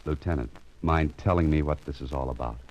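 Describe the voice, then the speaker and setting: Hard-boiled radio detective, bottom-deep pitch, wry, cool-headed, sardonic, muffled old recording. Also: male voice, brisk, darkish tone. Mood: wry, puzzled. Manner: sardonic, talks to himself in narrated asides. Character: wry, cool-headed private investigator, grown man. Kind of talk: 1940s radio acting. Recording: dull vintage radio recording, music behind.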